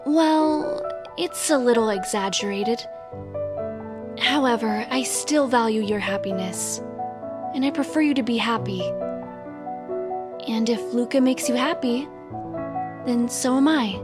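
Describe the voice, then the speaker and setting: A woman's voice: fairly high pitch, a little slow, long pauses, loud music behind the voice, close to an everyday voice. A woman playing a caring, easily flustered teenage girl in a fan audio drama. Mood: sincere.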